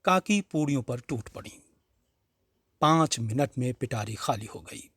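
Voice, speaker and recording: A man narrating a literary story in a measured, expressive, dramatic reading style. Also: mid-range voice, breathy edge, dry recording, studio quality.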